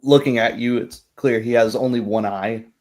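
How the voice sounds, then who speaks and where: A man narrating a tabletop roleplaying game as game master, describing things in a halting, improvised way. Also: medium pitch, fairly even intonation, darkish tone.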